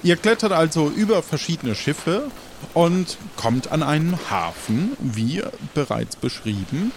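A man's voice: a little high, sing-song, measured pace, a little hiss or hum. A man narrating an improvised audio adventure as game master.

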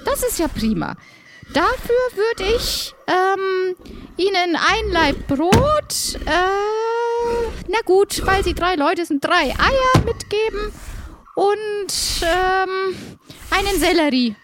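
A very high-pitched woman shopkeeper from a farm, acted out by a woman. She is polite and wordy, feels her way hesitantly through sentences and carries a sense of grievance, as in an improvised audio play. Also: expressive, slow, very noisy, exaggerated voice. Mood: pleased. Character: friendly, aggrieved woman farm-shop keeper.

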